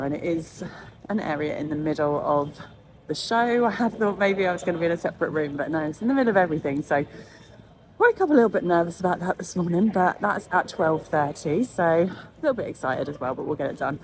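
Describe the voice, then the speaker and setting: A woman's voice: lowish voice, animated, fast, a little mellow, slight background noise. A woman from England narrating her trip to a trade show, chatty and upbeat, a bit bunged up. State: stuffy, bunged up. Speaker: vlogger and nail educator. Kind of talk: casual vlog narration.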